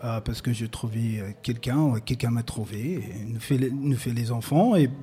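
An American man answering interview questions in halting French, with many pauses and restarts, at a public recording. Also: mid-range voice, mellow, breathy edge. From United States. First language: English. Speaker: guest artist. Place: public recording at an arts space.

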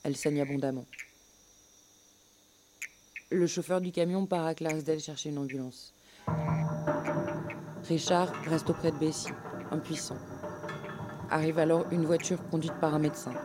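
A woman's voice: low voice, steady tone, normal speed, pauses often. A woman reading a scripted dramatic story as a radio narrator, with music behind her. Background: loud music.

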